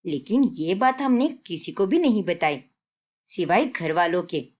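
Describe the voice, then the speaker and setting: A woman voicing an elderly grandmother in a family story, patient and principled, gently admonishing as she moralizes. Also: on the low side, big pitch swings, measured pace, pitched below the performer's own voice.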